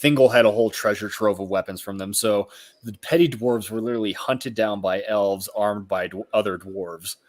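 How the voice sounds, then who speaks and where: A man's voice: lowish voice, moderate pace. A man co-hosting a casual book discussion, adding informed, precise side notes on details. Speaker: podcast co-host.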